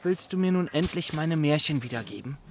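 Fussy, timid young scholar. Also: male voice, fairly high pitch, expressive, brisk, background noise. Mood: anxious, indignant. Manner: hesitant, earnest, slightly fussy. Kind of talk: German children's radio drama acting.

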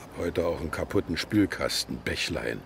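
An actor playing a gruff, skeptical older man in a children's radio play, grumbling drily in short, laconic remarks. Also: extremely low pitch, lively intonation, normal speed, fairly bright tone, a little hiss or hum, heavily acted. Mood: grumbling, dry.

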